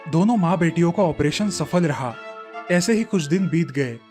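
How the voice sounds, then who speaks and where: Male storyteller, fairly high voice, scripted children's tale narration, faint music behind. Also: lively intonation, moderate pace. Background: soft background music.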